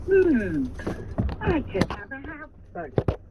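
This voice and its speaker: An older woman with a husky voice, calling in to a talkback radio show and telling stories at an unhurried pace. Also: high voice, sing-song. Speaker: radio caller. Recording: car radio broadcast under loud road noise.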